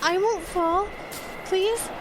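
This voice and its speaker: Woman acting scared but stubborn lady in a storm, really high n urgent, noise all around, audio play. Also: takes it slowly. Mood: determined pleading. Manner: urgent, pleading. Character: Katrina, anxious, determined woman caught in blizzard. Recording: distant voice amid clear storm noise.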